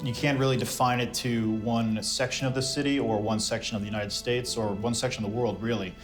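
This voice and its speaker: A man's voice: medium pitch, fairly even intonation, a little fast, soft background music. A man speaking plainly and matter-of-factly in an interview.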